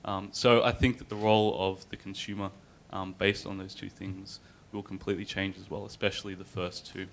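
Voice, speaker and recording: Male panelist, low and gravelly, measured explainer, faint room hum. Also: flat delivery. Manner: measured, structured explaining with fillers. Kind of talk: panel discussion.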